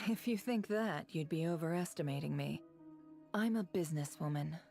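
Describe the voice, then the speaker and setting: A woman playing a composed, shrewd, mysterious businesswoman in a video game, speaking in a measured, formal, self-assured way. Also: deep voice, vocal fry, warm tone, soft background music. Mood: assured, amused. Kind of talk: scripted video game voice acting.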